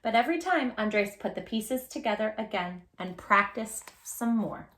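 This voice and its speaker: A woman hosting a children's read-aloud, expressive and encouraging, emphasising words and chatting directly to young listeners. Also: on the high side, moderate pace. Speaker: storybook reader, channel host.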